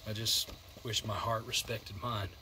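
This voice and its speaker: An actor playing a weary, loyal survivor in a video game, plainspoken and folksy, with an American accent. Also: male voice, on the low side, fairly even intonation, unhurried, noisy background, lightly acted. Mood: rueful, reflective. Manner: folksy, colloquial, reflective. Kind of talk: naturalistic video game drama acting.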